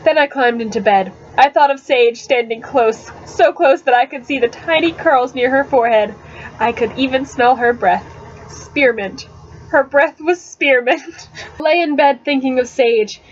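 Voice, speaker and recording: A woman's voice: high voice, measured pace. A woman giving an amateur dramatic reading of a novel, prone to giggles and stumbles, recorded on a webcam microphone. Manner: breaks into giggles and stumbles over words. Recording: dull, noisy webcam audio.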